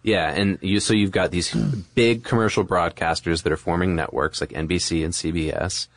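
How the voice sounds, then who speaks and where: A man's voice: deep voice, steady tone, normal speed, gravelly, dry recording, clean studio sound. A man co-hosting a podcast, explaining history in a casual, conversational and informal way. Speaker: podcast co-host.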